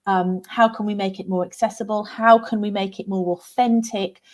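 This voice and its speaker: A woman's voice: mid-range voice, steady tone, moderate pace. A woman co-hosting a discussion about teaching, speaking in a thoughtful way and often ending points with tag questions. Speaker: co-host, head of educational content. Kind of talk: casual discussion.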